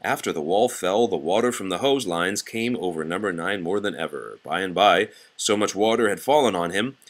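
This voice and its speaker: Man, children's read-aloud, expressive narrator, lowish pitch, highly varied intonation. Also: moderate pace. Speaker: storybook reader.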